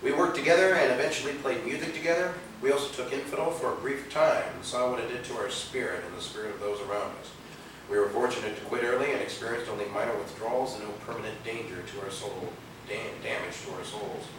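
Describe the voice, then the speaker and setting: A theatrical, hot-headed gang rebel performed by a man in a live stage play. The voice is medium in pitch, heard from a distance in a very reverberant theater. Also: lightly acted. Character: hot-headed male rebel gang member, itching to attack. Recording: distant, echoey theater videotape with noise.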